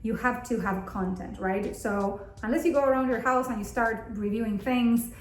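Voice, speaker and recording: A woman's voice: fairly high pitch, normal speed. A 38-year-old woman from Argentina, a native Spanish speaker, talking casually and candidly to viewers as an upbeat vlog host. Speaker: content creator and video host. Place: bathroom at home, indoors. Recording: echoey indoor room.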